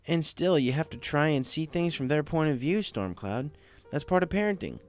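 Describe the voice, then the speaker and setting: A man's voice: on the high side, lively intonation, normal speed, pitched above the performer's own voice, lightly acted. A man voicing an adult pegasus pony, a reasonable and reassuring parent who gives friendly advice in a story reading. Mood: advising. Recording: faint music under the voice.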